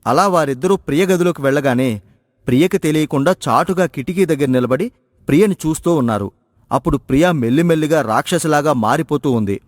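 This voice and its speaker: Male storyteller for scripted tale narration, slightly high pitch, dry recording. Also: fairly quick, dry recording.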